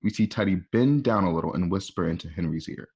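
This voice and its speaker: Low-voiced man narrating a script. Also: fast, a little mellow, muffled recording. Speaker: narrator reading stage directions. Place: at home.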